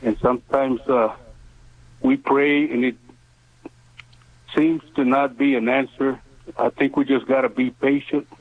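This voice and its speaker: A man in his seventies calling in to a radio show, speaking earnestly in halting, deliberate phrases over the telephone. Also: mid-range voice, steady tone, breathy edge. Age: 70s. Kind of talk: earnest phone-in testimony and prayer request. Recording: narrow tinny phone line.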